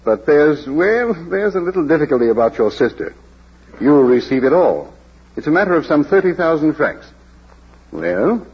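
A formal, patronizing lawyer in a vintage radio drama. His voice is rough and husky, with lively rises and falls. The sound is muffled like an old broadcast. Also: a little high, normal speed, full character voice. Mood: suave. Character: smooth, condescending male lawyer. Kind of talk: 1940s radio drama acting.

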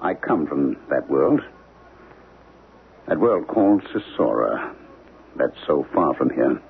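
An actor in a 1970s radio drama playing a troubled, haunted middle-aged professor, brooding, halting and terse. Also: male voice, rumbling low, big pitch swings, gravelly, slight background noise, heavily acted. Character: troubled, haunted archaeology professor, married man with grown children. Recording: tinny, narrow-band old radio broadcast.

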